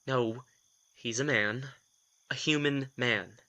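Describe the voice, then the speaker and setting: An actor playing a reluctant young male vampire in an audio drama, terse and quick to snap. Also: mid-range voice, sharp tone. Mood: defensive.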